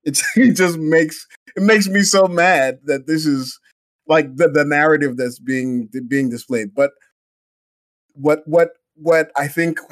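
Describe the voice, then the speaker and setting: A man's voice: fairly high pitch, takes it slowly, no echo. Give me a man with a Jamaican accent, indignant and restarting a lot, recorded dry. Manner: heated, indignant, many restarts. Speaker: podcast co-host. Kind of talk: casual football podcast chat.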